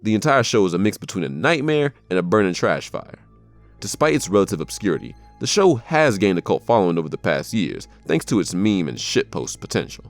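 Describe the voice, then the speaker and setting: A man's voice: on the low side, big pitch swings, brisk. A man delivering scripted narration as a video narrator. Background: background music.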